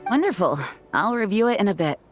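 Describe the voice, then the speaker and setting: A woman's voice: medium pitch, very animated, brisk, over loud music, natural voice. A woman voicing a gracious, dutiful young knight commander in a video game, courteous and earnest. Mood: poised.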